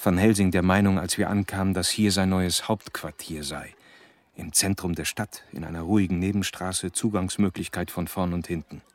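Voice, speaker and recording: Sober diarist played by a male actor for a radio horror play, very low pitch, measured and clipped. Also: fairly even intonation, no echo, natural voice. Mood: sober, tense. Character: sober educated man narrating his diary. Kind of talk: scripted diary narration in German radio drama.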